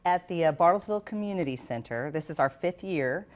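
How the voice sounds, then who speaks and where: Low-voiced woman giving an interview. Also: expressive, fairly quick. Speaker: guest, concert organizer. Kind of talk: live TV interview talk. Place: TV studio.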